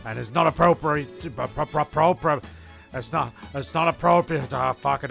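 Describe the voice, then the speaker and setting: A man's voice: mid-range voice, vocal fry, fairly bright tone. A man narrating a comedy audio show, mock-serious and prim, stammering, exasperated and prone to swearing. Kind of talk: mock-serious scripted narration with ad-libbed banter.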